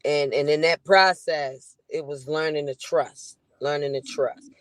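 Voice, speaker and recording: A woman's voice: low voice, steady tone, a little slow, no room sound. A woman in her forties, a comedian, chatting casually and playfully on a video call. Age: 40s. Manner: laid-back, playful, trailing off. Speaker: comedian guest. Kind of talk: casual chat.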